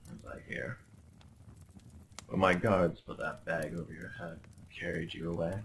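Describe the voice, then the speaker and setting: A man's voice: fairly low pitch, darkish tone, slight background noise, low-quality recording. A man playing a young, obsessive medieval prince in a dramatic ASMR roleplay, speaking in courtly, theatrical phrases with a possessive, menacingly tender undertone. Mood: tender, apologetic. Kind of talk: dramatic ASMR roleplay monologue addressed to the listener.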